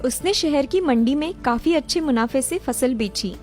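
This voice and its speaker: A woman narrates a cartoon moral tale from a script. Her voice is high-pitched. Faint music plays behind the narration. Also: normal speed, darkish tone.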